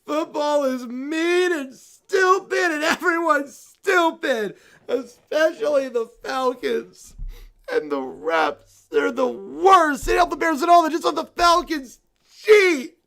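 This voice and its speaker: A high, loud little bear boy in a full tantrum, wailing and shouting through tears, as a woman puts him on. His voice climbs shrill and cracks with sobbing, and he drags out his words. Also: lively intonation, takes it slowly, creaky, few pauses, exaggerated voice. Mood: whiny tantrum.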